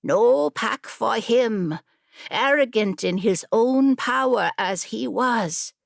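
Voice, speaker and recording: A woman's voice: on the high side, lively intonation, slow, creaky, no room sound, studio quality, exaggerated voice. A woman playing a storyteller who holds children rapt at a campfire, theatrical and emphatic, in an audiobook. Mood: dramatic.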